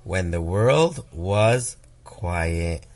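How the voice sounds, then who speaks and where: A man reads a picture book to a small child at an extremely unhurried pace, with playful, lively intonation. His voice has a gravelly creak, and there is faint noise in the recording. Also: deep voice. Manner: playful, acts out animal sounds and emphatic words. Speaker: storybook reader. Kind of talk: read-aloud children's story to a small child.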